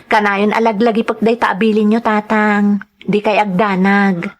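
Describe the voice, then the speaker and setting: A woman's voice: mid-range voice, flat delivery, moderate pace, natural voice. A young woman playing an earnest daughter who tells her life story in an Ilocano radio-style drama, in a conversational manner. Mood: reassuring.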